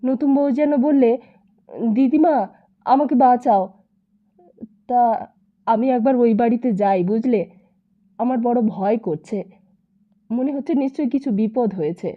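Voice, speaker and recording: A woman voicing a frightened elderly wife who speaks with halting, anxious urgency. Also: fairly high pitch, narrow, tinny audio.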